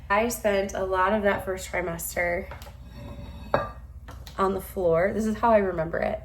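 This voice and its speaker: A woman in her thirties talks casually to a camera set up a few steps away in her home. Her mid-range voice is a little hoarse as she recovers from losing it, and she speaks in a chatty, self-deprecating way, often laughing at herself. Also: medium pitch, poor audio. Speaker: vlogger.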